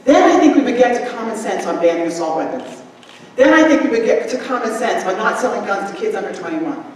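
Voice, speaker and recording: A middle-aged woman answering as a candidate at a forum, emphatic and forceful, into a handheld mic. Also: medium pitch, lively intonation, slight background noise. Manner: emphatic, gesturing, fast and forceful. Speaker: political candidate. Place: large school gym, indoors. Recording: echoey gym from far off, crowd murmur.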